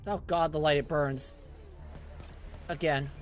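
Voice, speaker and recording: A man's voice: a little high, steady tone, takes it slowly, faint music behind. Joking man giving game commentary. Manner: offhand, joking asides. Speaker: gameplay streamer. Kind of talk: casual gameplay commentary.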